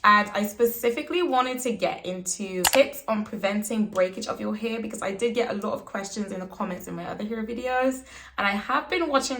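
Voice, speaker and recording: Hoarse husky young woman doing a hair tutorial vlog, upbeat and chatty, keeps saying guys. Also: medium pitch, fairly bright tone. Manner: chatty, upbeat, often calls out to viewers. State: hoarse, recovering from flu. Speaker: hair care vlogger. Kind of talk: casual tutorial talk to camera.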